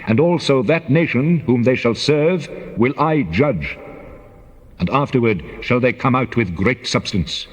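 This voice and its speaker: A man voicing God as a solemn, commanding divine figure, declaiming deliberately in a dramatized scripture reading. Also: medium pitch, expressive, breathy edge. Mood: solemn pronouncement. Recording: long cavernous reverb.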